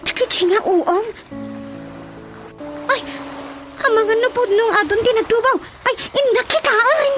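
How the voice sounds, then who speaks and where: Dalagang Ilocana, napakatinis ng boses. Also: female voice, very high voice, a little slow, pauses often. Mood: amazed, excited. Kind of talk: Ilocano radio comedy-drama acting. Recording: music bed behind the voice.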